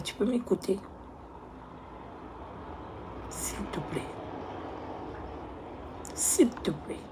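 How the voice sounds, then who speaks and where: A woman playing a remorseful young woman who begs her ex on the phone. She speaks softly and pleads, often close to a whisper. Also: fairly low pitch, slight background noise, natural voice, rough recording. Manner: soft, hushed, pleading, often near a whisper. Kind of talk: comedy skit monologue.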